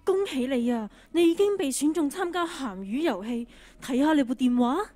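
A girl acting a high-pitched mysterious game caller. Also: lively intonation, normal speed, dark tone. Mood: teasing, commanding. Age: teenager. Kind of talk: Cantonese radio drama acting. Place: on stage in a school drama competition hall.

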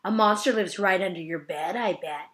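A woman's voice: on the low side, normal speed, fairly bright tone, close to an everyday voice. A woman voicing a smug little boy who teases and tries to scare a classmate in a know-it-all way. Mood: teasing.

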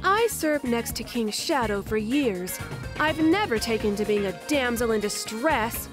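A woman voicing a proud, strong-willed queen in an animated comic dub. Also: fairly high pitch, measured pace, over music. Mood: indignant.

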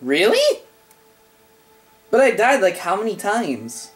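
A host playing a horror game, scared and swearing, with a voice that is wearing out from yelling. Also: mid-range voice, huge pitch swings, slow pace. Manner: frightened, swearing, bursts of yelling at enemies. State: voice giving out. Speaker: gaming channel host. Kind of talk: live let's-play commentary. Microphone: gaming mic.

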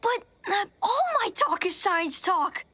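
A man's voice: extremely high pitch, lively intonation, slow pace, pushed and bright, heavily acted. An actor voicing a nerdy young boy who makes potions and talks science, wordy and a bit nervous. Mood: earnest. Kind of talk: cartoon voice acting. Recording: music behind.

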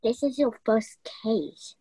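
A young woman playing a bossy, self-important detective in a radio play, speaking with authority and dramatic pauses. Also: on the high side, expressive, warm tone, husky, no echo, exaggerated voice, low-quality recording. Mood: irritated. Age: young adult. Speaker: actor in a youth theatre radio play.

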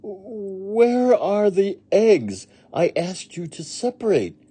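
A bossy storybook lady of the house acted out by a man, excitable and easily exasperated. The voice sits very high, swooping dramatically as it gives its orders. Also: slow, slightly rough, heavily acted. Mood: impatient. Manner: commanding, dramatic exclamations. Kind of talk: children's storybook character reading.

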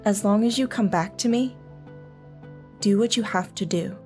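A woman's voice: mid-range voice, normal speed, darkish tone, soft background music, close to an everyday voice. A woman playing a soldier's loving, steadfast wife in intimate audio drama dialogue. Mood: tender, anxious.

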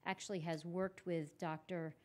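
A woman's voice: lowish voice, flat delivery, normal speed, a little mellow. A woman introducing people from notes as a presenter at a public meeting, recorded in a noisy room. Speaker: residency program presenter.

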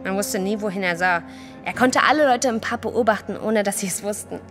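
A woman's voice: medium pitch, brisk, a bit bright, soft background music, close to an everyday voice. An actress playing a frightened, indignant young woman in an audio drama, stammering hesitantly at times and speaking emphatically. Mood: indignant, chatty. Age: young adult.

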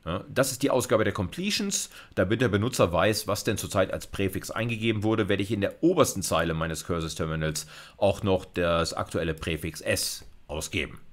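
Low-voiced middle-aged man lecturing. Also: animated, normal speed. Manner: colloquial, thinking aloud while demonstrating. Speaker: university lecturer in computer science. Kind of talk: informal lecture narrating a live coding demo.

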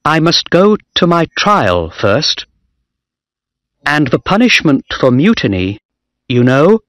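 A man's voice: a little high, very animated, slow pace, fairly bright tone, no echo, pitched above the performer's own voice, exaggerated voice. A man voicing a frightened young naval officer who answers in an earnest, hesitant way. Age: young adult.

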